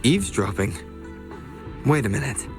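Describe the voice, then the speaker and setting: A man playing a composed, dry-witted investigator in a video game, speaking in a measured way. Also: mid-range voice, very animated, over music, lightly acted.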